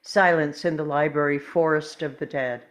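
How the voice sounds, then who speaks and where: Lowish-voiced woman reading stage directions. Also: fairly even intonation, normal speed. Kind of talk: scripted narration, present-tense screen directions.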